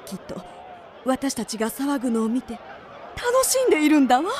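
Radio drama: knowing, suspicious lady passenger, high animated voice, faint crowd behind. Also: fairly quick, a little hiss or hum.

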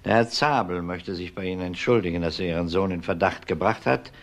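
An actor playing a calm, dogged police detective who questions people in a measured, matter-of-fact way in a crime drama. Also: male voice, low voice. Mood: measured, probing. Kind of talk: German TV crime drama acting.

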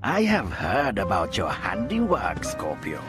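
Suave older male gang leader, unhurried, swooping intonation, formal manners, video game villainy. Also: lowish voice, huge pitch swings. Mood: suave, pleased. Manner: measured, courteous, formal. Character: suave, calculating older male crime boss. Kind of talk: video game cutscene acting. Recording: music behind.

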